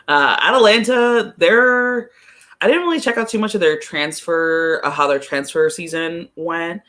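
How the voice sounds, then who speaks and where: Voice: medium pitch, a little slow, bright, forceful tone. Casual soccer podcast talker, thinking aloud. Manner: hesitant, thinking aloud, frequent use of "like". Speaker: podcast guest analyst. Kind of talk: casual chat, soccer commentary.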